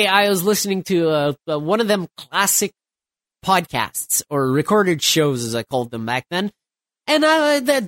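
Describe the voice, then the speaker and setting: A man's voice: sits high, a bit bright, no echo, clean studio sound. Stuffed-up man rambling on his podcast. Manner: rambling, loose, with many restarts and fillers. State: stuffed up, getting over a cold. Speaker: podcast host. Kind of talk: solo late-night podcast talk.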